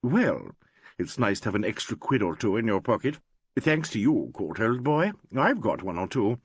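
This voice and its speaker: A man voicing a smooth, oily man who croons soothingly. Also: fairly low pitch, sing-song, moderate pace, dry recording.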